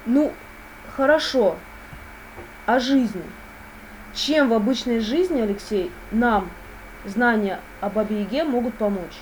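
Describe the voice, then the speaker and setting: A woman's voice: a little high, a little slow, long pauses, a little mellow, slight background noise, low-quality recording. A woman hosting an interview, asking questions and adding brief prompts.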